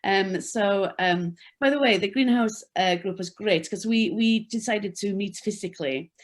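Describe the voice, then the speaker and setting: A woman's voice: medium pitch, a little slow. A woman from North Wales with a Welsh accent, Welsh her first language, talking about her church work on a video call with many hesitations. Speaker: church worker, webinar guest.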